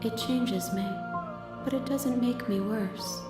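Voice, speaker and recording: Serene otherworldly entity, echoing over music. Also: female voice, on the high side. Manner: solemn, measured, poetic. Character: vast calm otherworldly female entity of many selves. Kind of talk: scripted visual novel voice acting. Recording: echoing voice, loud music underneath.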